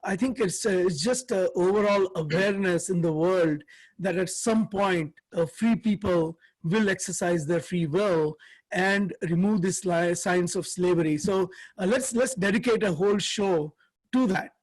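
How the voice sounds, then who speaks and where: A man's voice: sits high, unhurried, rough recording. A man co-hosting a talk show discussion, speaking earnestly with many fillers and restarts. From India. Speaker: co-host.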